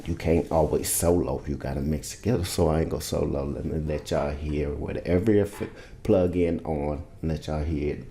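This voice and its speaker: Very deep-voiced laid-back male producer. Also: fairly even intonation, normal speed, hardly any pauses, dark tone, slight background noise. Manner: laid-back, rambling, loose and informal. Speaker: music producer teaching vocal mixing. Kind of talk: casual screen-share tutorial. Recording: roomy echo.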